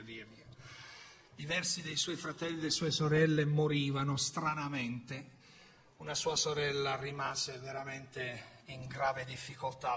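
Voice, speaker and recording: A man in his fifties preaching a sermon from a church pulpit, swinging from loud exclamations to hushed storytelling. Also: fairly high pitch. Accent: Italian. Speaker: pastor. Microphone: pulpit microphone on a stand.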